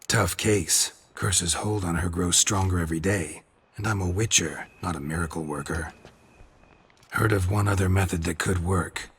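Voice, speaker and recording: An actor playing a stoic, world-weary monster hunter in a video game, speaking in terse, deadpan lines with a gravelly, husky voice. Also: male voice, low-pitched, moderate pace, slight background noise. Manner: terse, deadpan, clipped sentences.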